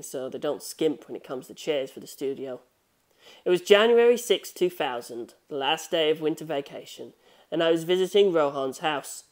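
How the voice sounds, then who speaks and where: A woman reading scripted novel narration aloud in the manner of an audiobook. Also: low voice. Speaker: audiobook reader.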